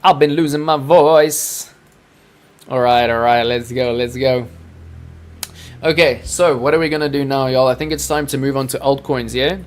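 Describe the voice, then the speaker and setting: A man's voice: mid-range voice, fairly even intonation, moderate pace, slight background noise. A man hosting a live crypto trading stream, talking to his chat in a hyped, salesy way. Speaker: crypto trading livestream host.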